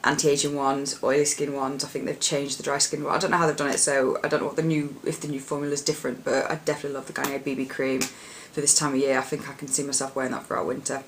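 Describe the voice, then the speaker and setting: Chatty husky young woman. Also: rumbling low, little pitch movement, fast, few pauses, a little hiss or hum. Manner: chatty, rambling, lots of fillers and restarts. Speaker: beauty vlogger. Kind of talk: casual product chat to camera.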